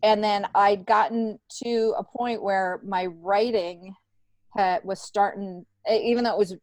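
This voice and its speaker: A woman's voice: mid-range voice, fairly even intonation, measured pace, darkish tone, dry recording. A middle-aged woman with an American accent talks casually and with animation as an interview guest. She often breaks off and restarts her sentences. The recording is dry, with no room around the voice. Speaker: podcast guest, stand-up comedian. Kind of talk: casual conversational storytelling.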